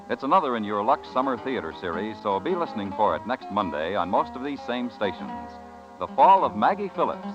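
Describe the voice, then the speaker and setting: A male announcer with a lively, sweeping delivery at medium pitch, formal and emphatic in the manner of 1950s radio. The recording is old and slightly muffled, with music faintly behind him.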